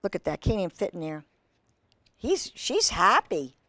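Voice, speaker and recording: A woman hosting a children's storytime, reading aloud with drawn-out emphasis and chatting in asides to young listeners. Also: on the low side, sing-song, bright, forceful tone, dry recording. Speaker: host reading picture books to children.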